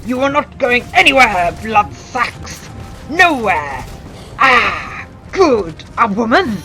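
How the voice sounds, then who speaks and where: Sharp, gloating vampire villain. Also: mid-range voice, sing-song, slow pace, heavily acted. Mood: gloating menace. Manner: theatrical, taunting. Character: gloating, predatory vampire summoner hungry for faces. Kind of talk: audio drama villain acting. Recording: music bed underneath.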